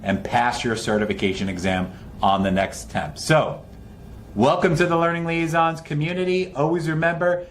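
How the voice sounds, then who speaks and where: A man's voice: fairly high pitch, normal speed, a little hiss or hum. A man hosting an online test-prep session with an upbeat, informal and encouraging introduction.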